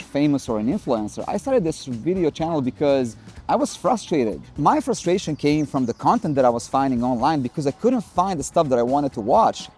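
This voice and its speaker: A man's voice: medium pitch, darkish tone, slight background noise. A man from Serbia with a Serbian accent, Serbian his first language, talking wryly and self-deprecatingly as a photography vlogger. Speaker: photography YouTuber. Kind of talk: scripted vlog monologue. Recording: faint music underneath. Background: faint music.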